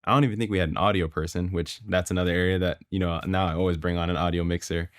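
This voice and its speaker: A young man from Florida with a deep, low voice recounts a video shoot in a loose, conversational way, full of fillers and restarts. The recording is dry and close, with no room sound. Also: racing, dry recording. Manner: relaxed storytelling with many fillers. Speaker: guest videographer and director. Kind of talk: casual podcast conversation.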